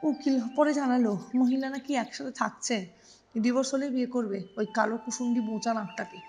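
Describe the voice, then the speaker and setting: A woman's voice: on the high side, brisk, darkish tone, close to an everyday voice. A woman voicing a simple, easygoing male blue shirt in a story, chatty and reassuring in a plain-spoken way. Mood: gossipy.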